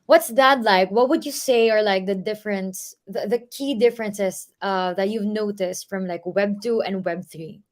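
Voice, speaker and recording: A woman's voice: mid-range voice, moderate pace, few pauses. A woman hosting a casual show, chatty and upbeat, mixing Tagalog and English. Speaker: host. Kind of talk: casual livestream talk.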